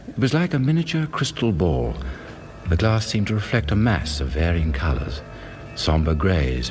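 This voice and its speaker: An actor in his fifties with a British accent playing a fussy, brooding husband in a radio drama, measured and confiding. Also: deep voice. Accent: British English. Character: fussy middle-aged husband confessing a dark urge to kill.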